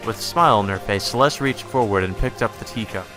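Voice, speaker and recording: A man's voice: on the low side, fast talker, over music. A man reading visual novel narration aloud as a let's player. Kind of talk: scripted narration with light reactions.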